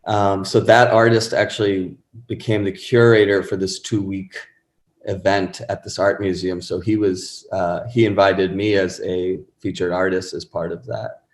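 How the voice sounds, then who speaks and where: A man's voice: low voice, level tone, unhurried, echoey room, a little hiss or hum. A man in his thirties, a musician guest chatting casually and rambling with many fillers and restarts. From born in Pakistan, raised in Rhode Island. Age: 30s. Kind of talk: casual interview chat.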